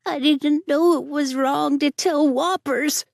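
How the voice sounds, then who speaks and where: A mischievous little boy with a high, childlike voice and a frank, pleading, colloquial way of talking, as a woman puts him on. The sound is dry and close, with no room around it. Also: animated, measured pace, a little mellow, dry recording. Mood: sobbing pleas.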